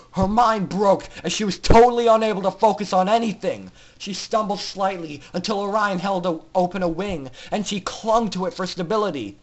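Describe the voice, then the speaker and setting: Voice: medium pitch, rough recording. An androgynous-sounding narrator reads a story dramatically. The voice sits in a middle range, and the delivery is theatrical and intense, shaped like amateur audiobook fan fiction.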